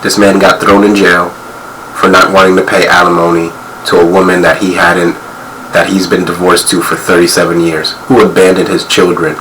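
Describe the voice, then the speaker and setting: A hoarse man who has partly lost his voice, speaking quietly in angry commentary. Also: deep voice, flat delivery, moderate pace, far from the mic, background noise. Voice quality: quiet, strained. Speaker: video commentator.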